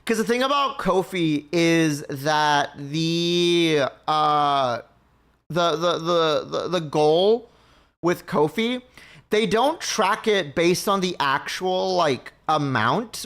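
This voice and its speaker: A man's voice: on the high side, takes it slowly, fairly bright tone. A man from Mexico chatting casually with his stream viewers, rambling and thinking aloud as he goes. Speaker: livestream host.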